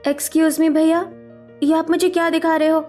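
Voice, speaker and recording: A woman acts out a spoiled, haughty young cartoon rich girl with a high, shrill-edged voice. She is brash and demanding and drops English words into her talk. Faint music sits underneath. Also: level tone, moderate pace, mellow. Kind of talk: animated cartoon story acting.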